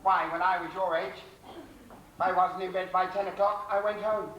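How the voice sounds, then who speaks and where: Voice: mid-range voice, steady tone, normal speed, fairly bright tone, natural voice. An actor playing a bustling comic nurse in a stage pantomime, scolding and repeating words in flurries, recorded in a theatre. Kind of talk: broad stage pantomime comedy. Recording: roomy theatre from far off, noisy audience.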